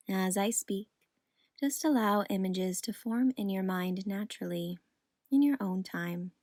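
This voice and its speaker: A woman guiding a meditation in a soothing, gentle, unhurried manner. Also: mid-range voice, no room sound. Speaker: meditation guide. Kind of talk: guided meditation narration.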